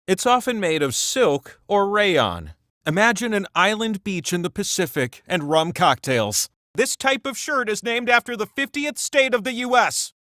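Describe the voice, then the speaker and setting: A man's voice: high-pitched, bright, forceful tone, dry recording, studio quality, full character voice. A man voicing a giant talking wheel that hosts a video game quiz, vain and quirky, with theatrical delivery and wry asides. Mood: wry, showy. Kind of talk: theatrical video game hosting, reading clues and quips.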